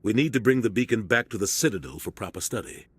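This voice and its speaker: An actor playing a serious male starship captain giving a steady, earnest briefing in a video game cutscene. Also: on the low side, fast talker, husky, no room sound.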